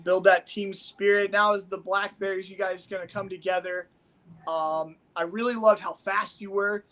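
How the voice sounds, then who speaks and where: A man's voice: high voice, little pitch movement, normal speed, sharp tone, a little hiss or hum. A man from Nebraska commentating martial arts sparring in an enthusiastic, rambling, coach-like way. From Omaha, Nebraska. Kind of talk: casual sports commentary.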